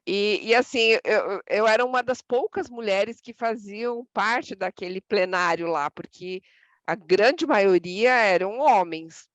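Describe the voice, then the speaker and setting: A woman's voice: medium pitch, slow, dry recording. A woman with a São Paulo countryside accent and a strong, dragged R, president of an association, talking thoughtfully in a podcast conversation. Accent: São Paulo countryside, strong rolled R.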